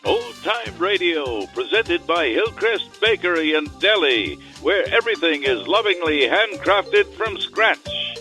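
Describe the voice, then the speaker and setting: High-pitched male ad announcer over music. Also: big pitch swings, a little slow, few pauses, pushed and bright. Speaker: advertisement announcer. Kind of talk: scripted radio commercial read. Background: music bed.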